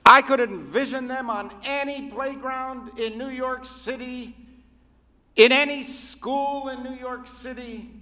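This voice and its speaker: A rabbi giving a sermon in a measured, oratorical way, with deliberate pauses. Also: male voice, extremely high pitch, steady tone, pushed and bright. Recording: reverberant hall.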